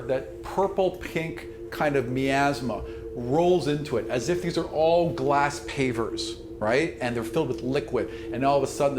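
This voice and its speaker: A man running a tabletop game as game master, describing scenes dramatically and voicing sound effects himself. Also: medium pitch. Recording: roomy, with clear background noise.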